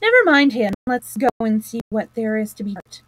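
A woman's voice: fairly high pitch, sing-song, moderate pace, fairly bright tone, dry recording, poor audio. A woman voicing an indignant, bossy male water rat from a storybook, with an emphatic, scolding manner. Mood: stern, firm.

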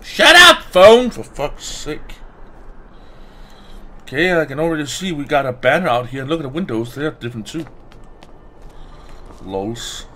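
A man's voice: fairly high pitch, very animated, sharp tone. A man giving casual, exclamatory gameplay commentary with frequent swearing as a let's play host. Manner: exclamatory, often swearing, drawn-out words.

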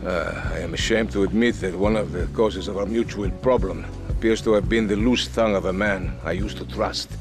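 An actor playing a courteous, theatrical Latin American colonel in a video game, speaking formal, florid English with a Spanish accent. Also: male voice, lowish voice, steady tone, brisk, hardly any pauses, lightly acted. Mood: grave, courteous. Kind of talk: video game cutscene acting. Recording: music behind the voice.

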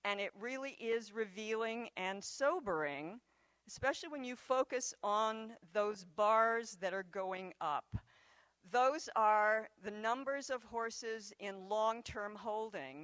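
An older woman giving a conference talk from a podium in a large hall, speaking into a handheld microphone in a measured, conversational way. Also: mid-range voice, fairly bright tone. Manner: measured, conversational lecturing with occasional fillers.

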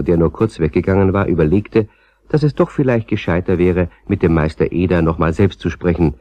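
A man's voice: low voice, warm tone. A man narrating a scripted children's story as a storyteller. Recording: slightly dull, roomy old cassette recording.

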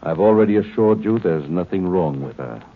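Superior alien overseer, deep low voice, tinny old radio broadcast. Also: male voice, expressive. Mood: cold. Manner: measured, superior, lecturing. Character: cold calm male alien overseer, condescending. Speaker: alien captor. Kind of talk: 1950s radio drama acting. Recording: tinny old broadcast recording, faint hiss.

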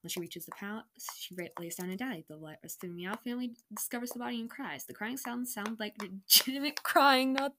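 A woman's voice: fairly high pitch, fairly quick, few pauses, noisy background. A young adult woman casually reading her own story aloud with self-mocking, incredulous commentary. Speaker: online reader of own stories.

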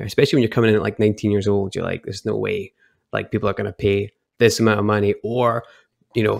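A young Scottish man with a gravelly, low-pitched voice tells stories in an easygoing, chatty way. He sounds close and dry, speaking into a headset mic on a video call from home. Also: no room sound. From Scotland, Edinburgh. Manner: chatty, relaxed, laughs often. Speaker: guest, whisky brand ambassador. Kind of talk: casual storytelling chat.

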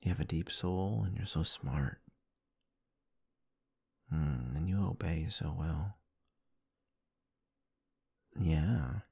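A man doing intimate roleplay ASMR, murmuring softly and affectionately in a soothing, breathy way close to the microphone. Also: very deep voice, extremely slow, pauses often, no room sound. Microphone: close-up microphone, dry.

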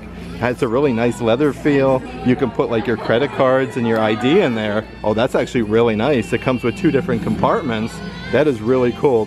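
An American man giving an upbeat, enthusiastic, casual running narration as a shopping vlogger. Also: medium pitch. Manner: upbeat, enthusiastic showing-and-telling. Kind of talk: casual vlog narration of store items. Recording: music behind the voice. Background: background music.

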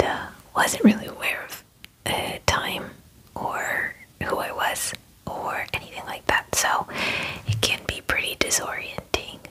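Slow, breathy whispering young woman. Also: bright, forceful tone, poor audio. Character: friendly young female werewolf salon groomer. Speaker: ASMR roleplay performer. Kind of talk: whispered ASMR personal-attention roleplay. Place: small indoor room at home. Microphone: close microphone, face near camera.

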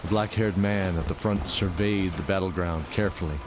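Deep-voiced man narrating fantasy. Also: steady tone, brisk. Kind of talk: dramatic fantasy story narration.

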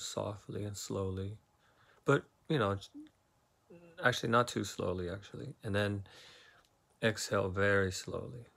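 Guy doing guided meditation, kinda low voice, pretty even tone, slow soothing instructions. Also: creaky.

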